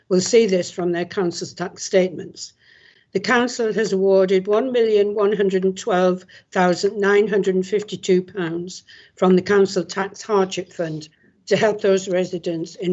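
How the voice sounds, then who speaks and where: A woman councillor, hoarse from a cold, giving a formal answer. She reads out prepared figures and stumbles now and then. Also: medium pitch, darkish tone. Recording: dull lo-fi audio.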